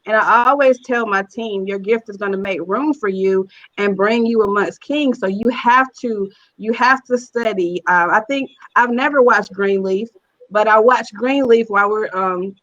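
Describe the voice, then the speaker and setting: A woman's voice: mid-range voice, moderate pace, fairly bright tone, highs cut off. A woman guest on a panel, giving earnest conversational advice and repeating phrases for emphasis. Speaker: guest, stage play writer-director.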